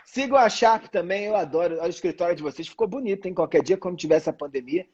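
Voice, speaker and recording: High-pitched husky Brazilian man hosting. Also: quick pace, darkish tone, lo-fi sound. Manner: effusive, informal, repeats phrases. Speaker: host. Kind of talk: casual live chat interview. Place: online live video call.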